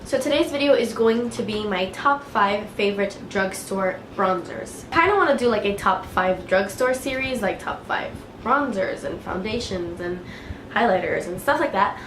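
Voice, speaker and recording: A woman's voice: medium pitch, expressive, moderate pace, fairly bright tone, slight background noise. A woman with a head cold and a clogged nose, chatting casually about products she likes, with lots of filler words. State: congested with a cold. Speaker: beauty vlogger. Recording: echoey room, faint ambience.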